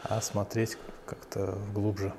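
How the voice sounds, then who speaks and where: A man's voice: fairly low pitch, completely flat delivery, takes it slowly, creaky, background noise. A male interviewer asking thoughtful questions and checking his understanding.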